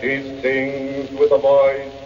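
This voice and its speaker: A man's voice: mid-range voice, monotone, dull audio, close to an everyday voice. A man voicing a solemn magic mirror spirit, reciting like an oracle in 1930s radio drama style. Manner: slow, solemn, incantatory recitation. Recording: distant, hissy, band-limited 1930s radio.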